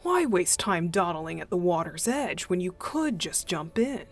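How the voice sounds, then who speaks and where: A woman voicing a playful, mischievous young male deacon in an unhurried, teasing ASMR roleplay style. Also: on the low side, very animated, faint music behind, full character voice. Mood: playful, confiding. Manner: teasing, unhurried, chuckling, breathy asides.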